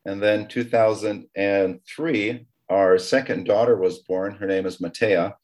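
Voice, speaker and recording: A middle-aged man with a low voice talks as a guest in an interview. He grew up in Taiwan and tells his story conversationally, sometimes halting and repeating a word. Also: fairly even intonation, darkish tone, low-quality recording.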